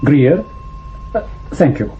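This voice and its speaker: An actor playing a brisk, worried senior security officer in a radio spy drama, hesitant yet commanding and clipped. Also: male voice, a little high, very animated. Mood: concerned, brisk. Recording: dull radio drama sound, faint noise.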